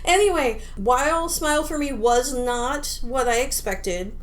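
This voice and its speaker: A woman co-hosting a podcast about video games, chatting casually in an animated, giggly, self-interrupting way. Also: high-pitched, measured pace. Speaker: podcast co-host.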